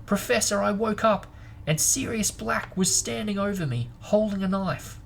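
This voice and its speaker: Storybook teen boy, played by a man: frightened, insistent, pitched well up, some background noise. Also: normal speed, vocal fry, rough recording. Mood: panicked, indignant. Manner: urgent, insistent. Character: frightened teenage boy insisting on what he saw. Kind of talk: storybook read-aloud.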